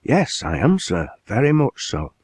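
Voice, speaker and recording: A man voicing a bright, polite teenage boy apprentice with clear speech and a slight South East Lancashire accent, earnest and respectful. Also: medium pitch, wildly swooping pitch, a little slow, no room sound, voice pushed up, full character voice.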